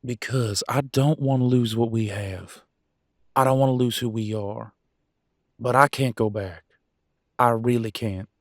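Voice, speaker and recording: A man's voice: medium pitch, fairly even intonation, a little slow, long pauses, no room sound, clean studio sound, close to an everyday voice. A man playing a proud, wounded werewolf husband and country vet in a roleplay audio drama, with a drawl and a folksy, bitter, raw way of talking. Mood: pleading. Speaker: voice actor. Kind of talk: dramatic roleplay audio, one side of a couple's argument.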